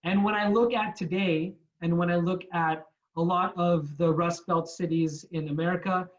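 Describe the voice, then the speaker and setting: A man's voice: high-pitched, fairly even intonation, normal speed, muffled recording. A young man from Canada giving a frank, informal talk with slides, restarting his sentences and repeating words often. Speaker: guest speaker.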